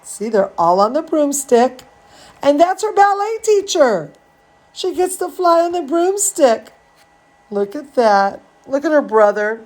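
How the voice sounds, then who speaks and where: A woman reading a picture book aloud to children, adding chatty asides in an expressive, drawn-out way. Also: high-pitched, rough recording. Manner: animated, drawn-out, expressive delivery. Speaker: storyteller, dance studio teacher.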